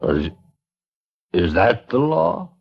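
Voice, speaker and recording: A man's voice: medium pitch, very animated, extremely slow, creaky, husky, exaggerated voice. An actor playing a cautious small-town man who hedges and speaks defensively in a vintage radio drama. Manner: hesitant, defensive. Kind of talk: 1950s radio acting. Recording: dull lo-fi old radio broadcast.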